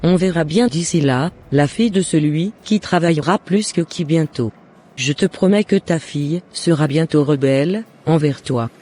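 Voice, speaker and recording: A man voicing a jealous, scheming mother and neighbour in an animated moral drama, with deliberate scripted phrasing. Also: on the high side, close to an everyday voice. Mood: bitter, threatening. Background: faint music.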